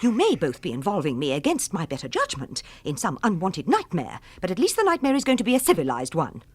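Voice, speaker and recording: A woman's voice: fairly low pitch, wildly swooping pitch, quick pace, darkish tone, husky, full character voice. An English actress playing a bossy, sarcastic wife at her wits' end in a radio drama, scolding in formal, precise wording. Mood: stern, scolding.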